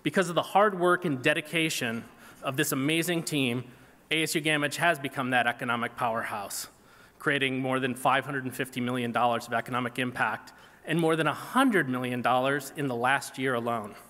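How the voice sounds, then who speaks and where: Bright-voiced man speaking at a podium. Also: mid-range voice. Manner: earnest, measured delivery from a podium. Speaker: award recipient giving acceptance speech. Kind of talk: prepared acceptance speech. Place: large banquet hall stage. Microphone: podium microphone. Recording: reverberant hall PA sound.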